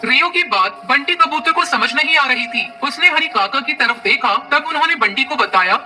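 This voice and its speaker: A woman's voice: high voice, lively intonation, moderate pace, sharp tone, far from the mic. A woman reading scripted narration for a children's moral story.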